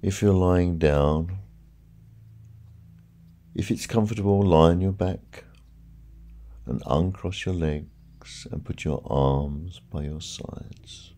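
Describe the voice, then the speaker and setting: A man leading a guided sleep hypnosis as a hypnotherapist, speaking in a soothing, hushed, unhurried way with gentle repeated cues. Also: low-pitched. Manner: slow, soothing, hushed delivery with repeated relaxation cues and counting. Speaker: hypnotherapist, channel host. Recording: background music, echoing reverb on layered voice.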